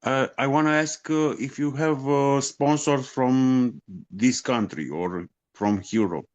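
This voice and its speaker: A man's voice: mid-range voice, slow. A man from Romania asks a question on a group call. He hesitates often and searches for his words. His voice comes through faint and muffled, like distant call audio. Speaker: caller.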